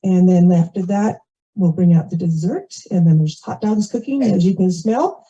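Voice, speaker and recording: A woman's voice: lowish voice, moderate pace, warm tone, breathy edge. A woman making casual, chatty, rambling announcements to a community gathering through an event microphone. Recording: dull, muffled event microphone audio.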